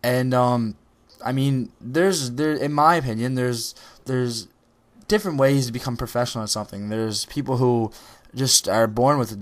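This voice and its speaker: Rambling teenage boy, lots of ums. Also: medium pitch. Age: teens. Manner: rambling, many ums and restarts. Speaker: gaming video creator. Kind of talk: casual motivational talk over gameplay.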